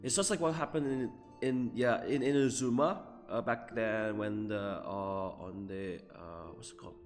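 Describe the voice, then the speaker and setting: A man giving casual, off-the-cuff game commentary as a streamer, halting as he searches for words. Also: fairly low pitch, in a large hall. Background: game music.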